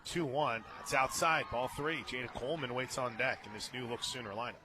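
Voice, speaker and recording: A man doing live play-by-play softball commentary on the radio, calling each play in short clipped phrases. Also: medium pitch, steady tone, a little fast. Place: outdoor ballpark radio booth. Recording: outdoor crowd noise behind the voice.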